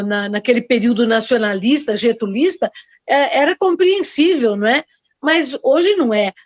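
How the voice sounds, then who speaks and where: A woman's voice: high-pitched, no echo. Older woman moderating, dry lo-fi call. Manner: measured, explanatory. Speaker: panel moderator. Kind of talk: discussion panel talk. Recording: dry, dull video call audio.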